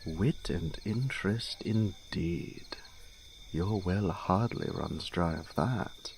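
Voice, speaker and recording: Man acting a low, creaky, teasing young nobleman. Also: takes it slowly, a little mellow, a little hiss or hum. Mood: sly, barbed. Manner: smooth-tongued, formal, archly teasing. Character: teasing, urbane young nobleman, elder brother in fantasy court. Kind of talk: fantasy audio drama acting.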